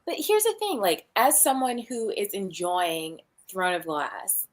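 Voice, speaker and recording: Woman, mid-pitched voice, casual book chat, blunt, exasperated. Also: huge pitch swings, a little slow. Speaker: book reading livestream host. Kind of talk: casual chat about books.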